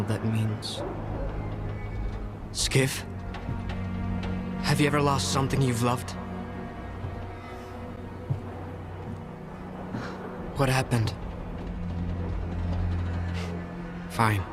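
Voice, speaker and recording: An actor playing a carefree, philosophical drifter in a video game cutscene, musing in a familiar, wistful way. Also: male voice, mid-range voice, close to an everyday voice. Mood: nostalgic. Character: wistful, carefree male drifter devoted to the Zone.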